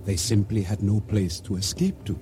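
A man acting a regretful island boatman in a radio drama, narrating and speaking in a solemn, measured way. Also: fairly low pitch, fairly even intonation, noisy background, natural voice. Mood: measured, tense. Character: regretful male island boatman recounting his downfall. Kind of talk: first-person narration and dialogue in radio drama.

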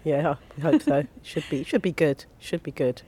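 Woman getting over the flu, voice gone deep, chatty and rambly, one shared little mic outdoors, faint rumble behind. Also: expressive, normal speed, mellow. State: recovering from flu, voice lower than usual. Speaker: guest, community gardener. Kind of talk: casual chat. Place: outdoor community garden surrounded by flats. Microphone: sharing one small microphone with the host.

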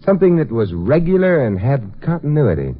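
An actor playing a suave, sardonic detective in a 1950s radio mystery, wry, unhurried and self-assured. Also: male voice, medium pitch, very animated, natural voice. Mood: probing, assured. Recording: tinny, noisy old radio recording.

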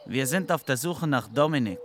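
A man's voice: mid-range voice, lively intonation, normal speed, a bit bright, slight background noise. A man playing an earnest, pedantic police commissioner who questions and corrects, in a comic audio drama. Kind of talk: comedic audio drama acting.

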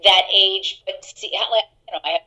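A middle-aged actress telling stories in an interview, chatty and laughing, with many fillers and restarts. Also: female voice, fairly even intonation, fairly quick, pushed and bright, poor audio. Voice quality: deeper voice. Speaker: actress guest. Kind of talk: casual interview anecdotes.

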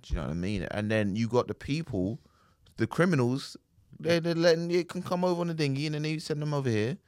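A man's voice: a little high, expressive, fast, darkish tone, no echo, studio quality. A man co-hosting a podcast in casual chat, rambling laid-back and tagging his lines with "man". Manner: laid-back rambling, tags lines with "man" and "do you know what I mean". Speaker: podcast co-host.

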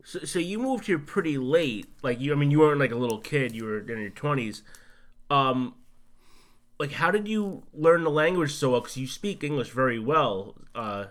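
A man co-hosting a casual interview, chiming in with quick joking remarks and questions. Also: medium pitch, lively intonation, fairly bright tone. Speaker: podcast co-host.

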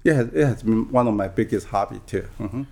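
Soft-spoken man from Taiwan in his sixties. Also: lowish voice, gravelly. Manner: soft-spoken, halting, with restarts and "mm-hmm". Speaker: guest real estate broker. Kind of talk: podcast interview.

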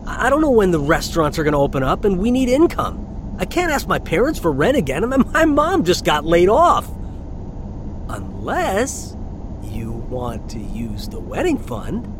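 An actor playing a young delivery driver, a nervous, halting, chatty man on a phone call in an audio drama. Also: a little high, wildly swooping pitch. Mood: exasperated. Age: young adult. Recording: clear vehicle and outdoor ambience noise.

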